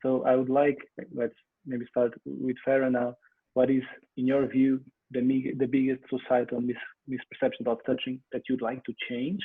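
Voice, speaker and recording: A man moderating an online panel, friendly and hesitant, with plenty of fillers. His voice is husky and dry. The sound is tinny and phone-like, as if it comes through a call line. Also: mid-range voice, completely flat delivery, normal speed, dry recording. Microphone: video call.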